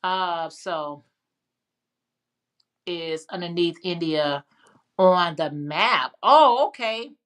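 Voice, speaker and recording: A woman's voice: fairly low pitch, very slow, pauses often, fairly bright tone. A woman with a Chicago accent hosting a casual live chat, rambling and answering viewer comments. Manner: chatty, rambling, frequent fillers and restarts. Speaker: livestream host.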